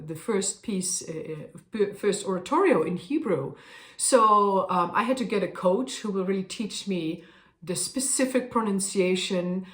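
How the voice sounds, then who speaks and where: Dutch woman singer in an echoey room. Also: mid-range voice. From Netherlands. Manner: thoughtful, explanatory, with soft fillers. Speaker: professional singer. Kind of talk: casual explanation to camera. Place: room with a harpsichord, indoors.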